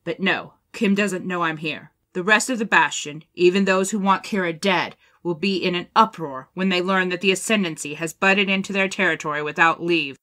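Female colonel, played by a woman: sharp, lowish voice, formal, authoritative, honourable. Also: normal speed, hardly any pauses. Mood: earnest, urgent. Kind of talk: audio drama acting.

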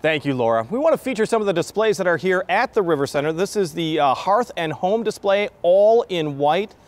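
An American man in middle age presents and interviews for television. His voice sits a little high for a man, and he speaks in a conversational presenter's style. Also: expressive, a little fast. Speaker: TV host and interviewer. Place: large exhibition hall, indoors.

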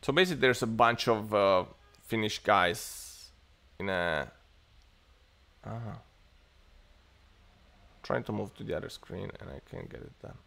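A man's voice: lowish voice, a little slow, vocal fry, long pauses, pushed and bright. A man in his thirties from Italy, chatting casually and rambling with lots of fillers on a tech livestream, recorded in a home study on an earphone mic. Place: home study lined with bookshelves. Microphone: earphone headset mic on a webcam stream.

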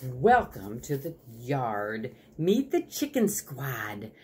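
Woman as a slow, wry old working dog. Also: a bass voice, big pitch swings, darkish tone, slight background noise, exaggerated voice. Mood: wry introduction. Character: retired search-and-rescue dog, weary, wry narrator.

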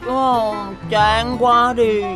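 An eager young boy bus from a children's cartoon, pitched high with a playful, heroic streak. He speaks at an unhurried pace over loud music. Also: little pitch movement. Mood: curious, playful. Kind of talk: animated children's cartoon dub.

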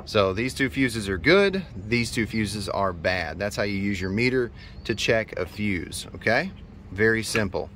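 A man's voice: on the low side, lively intonation, measured pace, a bit bright. American man, noisy how-to vlog. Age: 30s. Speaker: HVAC technician and video host. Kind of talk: informal instructional vlog to camera. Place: outdoors by a building and in utility spaces. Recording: clear background noise, outdoors and utility room.

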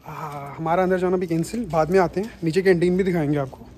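A man's voice: high-pitched, fairly even intonation, fast, darkish tone, a little hiss or hum. A young man in his twenties, a student vlogging casually around his university campus on a phone held at arm's length, playful and teasing with friends. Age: 20s.

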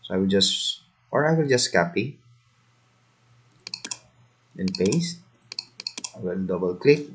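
Slow, low-voiced man walking through software. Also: expressive, a little hiss or hum. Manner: slow step-by-step instructions with fillers. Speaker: software tutorial presenter. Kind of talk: screen-recorded software tutorial.

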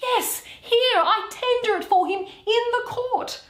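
A woman playing an impassioned young gentleman who pleads urgently, in a dramatic Shakespeare reading. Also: very high voice, a little slow, pitched above the performer's own voice. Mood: desperate pleading. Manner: urgent, imploring. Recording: echoey bare room.

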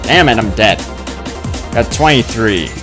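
A young man playing a video game and commenting on it, muttering slowly and then yelping loudly in fights, with faint game music behind. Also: fairly low pitch, lively intonation. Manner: slow, mumbling asides that jump to loud yelps in fights. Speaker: gaming video host. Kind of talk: let's play commentary. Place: indoor room with webcam.

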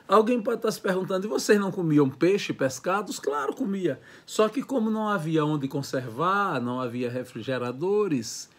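A man in his sixties from Brazil, giving a reminiscing lecture from his home study, talking with lively hand gestures. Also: a little high. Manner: lively, gestures with hands, listing words in quick runs. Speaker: educator. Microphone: earphone mic, webcam framing.

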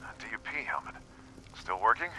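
A man's voice: fairly low pitch, very animated, brisk, bright, forceful tone, soft background music, heavily acted, poor audio. An actor playing a cautious, protective American lawman in a video game, giving terse, earnest instructions. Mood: grim, uneasy.

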